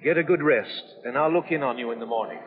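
An actor playing an earnest, steady prison officer in charge, speaking with measured authority in an old film drama. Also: male voice, medium pitch, heavy background noise, close to an everyday voice. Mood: calming. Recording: muffled old film soundtrack, long echo.

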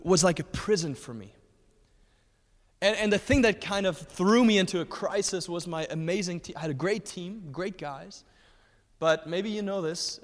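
Man in his thirties, from Germany, guest church speaker, earnest testimony, slightly high voice, long hall reverb. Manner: earnest storytelling with frequent fillers and restarts. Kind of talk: personal testimony in a church talk.

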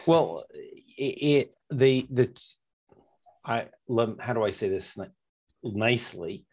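A man in his fifties leads an interview, friendly and easygoing. He often stops and restarts as he looks for words. His voice sits at an ordinary middle pitch, heard over a remote audio call. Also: lively intonation, takes it slowly, darkish tone, husky. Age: 50s. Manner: hesitant, friendly, laughs easily. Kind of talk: conversational interview.

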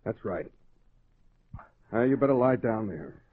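Slow, low-voiced lawman. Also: animated. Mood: sober, gentle. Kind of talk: 1940s radio drama acting. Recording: thin, tinny old radio recording.

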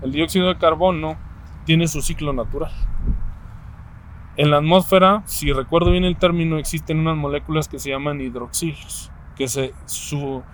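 A man in his thirties with a Mexican accent, thinking aloud and explaining ideas step by step, often pausing to find the word. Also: on the high side, slight background noise, poor audio. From Mexico City, Mexico. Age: 30s. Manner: thoughtful, explains step by step with frequent hesitations. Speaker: interviewee. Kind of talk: casual conversation.

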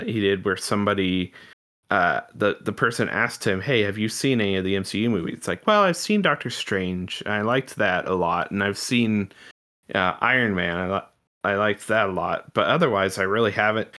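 A man's voice: on the low side, moderate pace, sharp tone. A man co-hosting a podcast, chatting about film and TV in an informal, rambling way with casual swearing. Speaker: podcast host.

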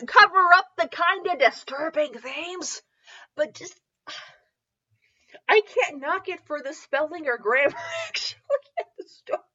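A woman hosting a podcast with casual, humorous review chat, rambling and halting as she goes, often giggly. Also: high voice, bright, forceful tone, muffled recording. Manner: giggly, frequently breaking into laughter; rambling, halting self-interrupted delivery. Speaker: podcast host.

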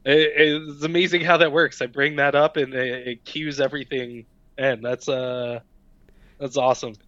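A man co-hosting a casual podcast, relaxed and profane. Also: medium pitch, normal speed, dry recording, lo-fi sound. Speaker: podcast co-host. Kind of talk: casual chat.

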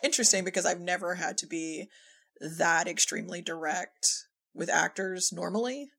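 Low, gravelly-voiced woman chatting casually. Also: normal speed. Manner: animated storyteller, acts out quoted remarks. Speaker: podcast guest, audio drama director. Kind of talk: casual conversational interview with anecdotes.

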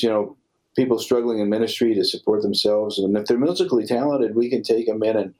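A man answering interview questions off the cuff, in halting, rambling phrases. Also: mid-range voice, darkish tone, poor audio. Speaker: ministry director, radio show guest. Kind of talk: unscripted interview talk.

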